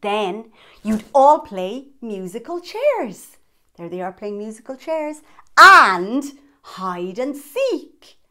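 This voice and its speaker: A woman's voice: a little high, sing-song, takes it slowly, sharp tone. A woman in her thirties hosting storytime, reading a picture book aloud expressively to young children with dramatic emphasis.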